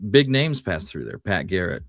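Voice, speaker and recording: A man's voice: deep voice, big pitch swings, moderate pace, dry recording, clean studio sound. A man co-hosting a history show, explaining things in an informal, conversational way. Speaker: co-host of a Western history show. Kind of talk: casual conversational history discussion.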